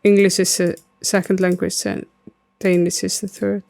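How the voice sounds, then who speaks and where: Gravelly Icelandic woman streaming a game. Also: on the low side, flat delivery, normal speed, darkish tone. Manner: rambling, many fillers, answering chat. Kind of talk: casual gaming stream chat.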